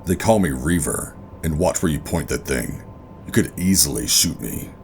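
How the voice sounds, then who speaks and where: An actor playing a hardened, wary operative who narrates a sci-fi horror audio drama in a brooding, deliberate, terse way. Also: male voice, a bass voice, expressive, vocal fry, slight background noise, heavily acted. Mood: firm, calming. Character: hardened covert operative narrating, adult man, wary and steady. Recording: music behind the voice.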